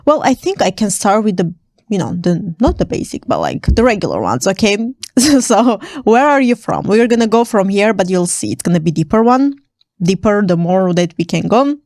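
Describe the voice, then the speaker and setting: A young woman from Armenia, a native Armenian speaker, talks casually to camera in a close, dry recording. Her pitch sits mid-range, and she laughs often between loose, rambling sentences. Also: very animated, moderate pace, darkish tone, dry recording. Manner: chatty, laughs often, many fillers. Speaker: vlogger.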